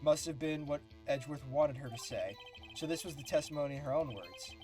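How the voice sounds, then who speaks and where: A man's voice: a little high, a little fast, a little mellow. A man talks in a casual streamer's way, bantering with a group. Game music runs behind his voice. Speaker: streamer playing a lawyer in a fan dub.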